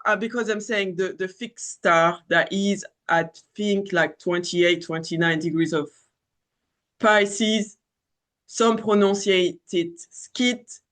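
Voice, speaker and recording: A man in his thirties speaks with a French accent, his voice sitting a little high. He talks loosely and casually, circling back on himself with fillers and restarts. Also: fairly bright tone. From France. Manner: rambling, many fillers and restarts. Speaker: astrology channel host. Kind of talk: casual spoken astrology talk.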